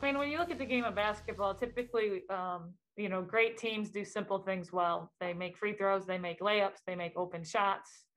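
A woman's voice: mid-range voice, moderate pace, few pauses, fairly bright tone. A woman head coach answering questions at a post-game press conference, speaking thoughtfully with frequent ums and restarts.

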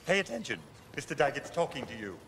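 A man's voice: mid-range voice, very animated, fast, creaky. Henchman in a reverberant hall. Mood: brusque. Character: deferential henchman of a crime boss. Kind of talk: dramatic animated series acting. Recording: long hall reverb, faint noise.

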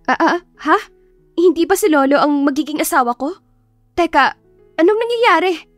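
A woman's voice: sits high, animated, normal speed, fairly bright tone, dry recording, pitched above the performer's own voice. A woman voicing a naive, feisty young bride in an audiobook, stammering and exclaiming shrilly. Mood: bewildered. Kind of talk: audiobook character acting. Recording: music behind the voice.

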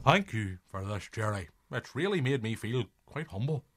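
Man playing a animated, flustered film director. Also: on the low side, normal speed, music in the background. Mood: humbled. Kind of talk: radio drama acting.

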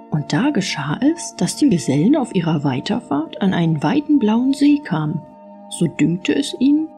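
Female audiobook narrator for fairy tales, animated delivery, warm tone. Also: fairly low pitch. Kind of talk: expressive fairy-tale storytelling.

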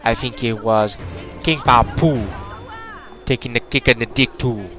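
A man's voice: mid-range voice, fairly even intonation, unhurried, a bit bright, soft background music, some chatter behind. A young British man casually commentating a game, joking and rambling in a put-on Jamaican accent. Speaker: gameplay commentator. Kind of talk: casual let's-play commentary.

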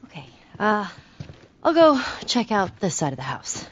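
A woman's voice: mid-range voice, big pitch swings, unhurried. A woman voicing a cocky, eager teenage American girl survivor in a video game cutscene. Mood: wry, casual. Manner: casual, sassy. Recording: slightly muffled, noisy game audio.

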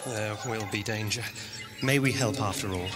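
An actor playing a thoughtful, gentle wanderer in a science-fiction audio drama, reflective and full of wonder. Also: male voice, on the low side, moderate pace, lightly acted. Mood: concerned. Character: thoughtful male cosmic wanderer, gentle and curious. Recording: music behind the voice.

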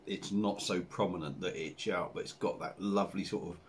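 A man in his forties with an English accent, chatting casually to camera as he reviews a beer at his home bar, rambling and relaxed with lots of fillers. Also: sits low, a little fast, slight background noise. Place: home bar room with dartboard and signs.

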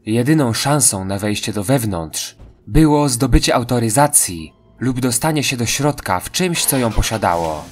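A man's voice: medium pitch, animated, moderate pace, soft background music. A man reading scripted narration for a horror audio drama.

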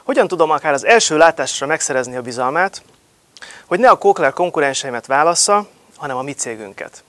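A man's voice: a little high, lively intonation, a bit bright, dry recording. A man in his thirties giving a promotional talk to camera, emphatic and full of rhetorical questions, recorded on a lapel mic in a studio. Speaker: marketing speaker.

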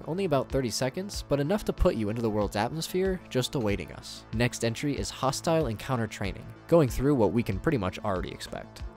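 A man giving scripted video commentary with wry, joking asides. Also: medium pitch. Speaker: YouTube horror series commentator. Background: music bed.

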